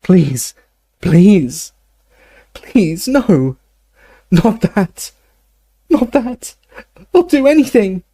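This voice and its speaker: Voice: mid-range voice, sing-song, a little slow, hardly any pauses, mellow, husky, no room sound, studio quality. A storyteller voicing an old male wizard professor in anguish, begging and delirious as in an audiobook. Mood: desperate begging.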